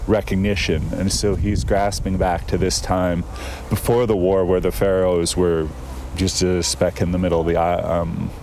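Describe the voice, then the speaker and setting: Deep-voiced male guest, rambling storyteller recorded outdoors, faint traffic behind him. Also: little pitch movement, moderate pace, few pauses. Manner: thoughtful, digressive storyteller with frequent fillers. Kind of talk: casual conversation. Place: outdoors in a town.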